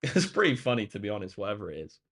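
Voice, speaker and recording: A man chatting casually and informally to viewers on a livestream as a tutor, his voice slightly croaky and tired, speaking to them directly and thanking them. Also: on the low side, sing-song, very fast, fairly bright tone. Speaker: maths tutor live streamer.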